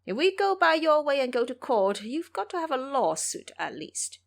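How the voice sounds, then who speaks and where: A woman's voice: sits high, big pitch swings, normal speed, vocal fry, voice pushed up, exaggerated voice. A woman voicing a brash, hot-tempered male monkey disciple who boasts and talks back in an audio drama. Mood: defiant. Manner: boastful, retorting, calls himself Elder.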